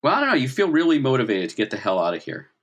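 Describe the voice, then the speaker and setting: A man's voice: medium pitch, huge pitch swings, very fast, fairly bright tone. A man working as game master, narrating a tabletop role-playing game conversationally and prodding players with questions.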